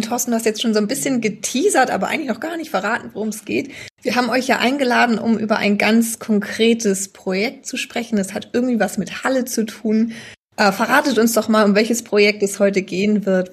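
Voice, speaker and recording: Very husky woman hosting an interview. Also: on the high side, fairly quick. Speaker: interviewer, host. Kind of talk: conversational interview moderation.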